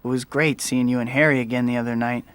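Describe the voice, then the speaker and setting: A man's voice: medium pitch, flat delivery, quick pace, fairly bright tone, smooth, lightly acted. A man playing an earnest, awkward young student hero in a video game, boyish and wry. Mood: apologetic. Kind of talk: video game cutscene acting.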